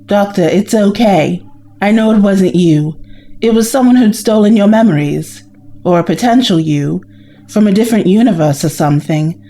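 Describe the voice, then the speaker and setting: A woman playing a loyal, level-headed companion in an audio drama, gentle and matter-of-fact. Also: medium pitch, moderate pace, slight background noise, natural voice, rough recording. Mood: gentle concern.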